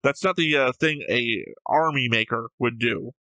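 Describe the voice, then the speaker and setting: Male co-host for casual analytical talk. Crisp-edged bright tone, close dry sound, methodical explainer who argues each point. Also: medium pitch, a little slow, no room sound. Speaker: reaction show co-host.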